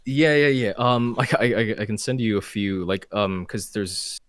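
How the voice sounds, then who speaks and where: Hesitant low-voiced man, dry close mic. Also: normal speed, fairly bright tone, dry recording. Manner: hesitant, stammering restarts. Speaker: guest voice actor. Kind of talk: casual interview chat.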